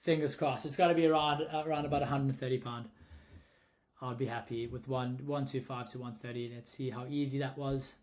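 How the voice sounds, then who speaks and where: A man's voice: medium pitch, fairly even intonation, fairly quick, a little mellow. A young man with a South African accent casually talking through a pricing tutorial, thinking aloud and often correcting himself. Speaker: short-term rental host explaining pricing. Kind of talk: casual screen-recorded tutorial.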